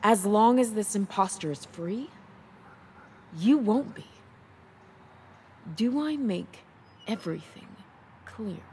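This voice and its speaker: An actress playing a cold, commanding officer of an empire in a dramatized audiobook, speaking with deliberate menace. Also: female voice, medium pitch, animated, darkish tone, a little hiss or hum. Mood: cold threat.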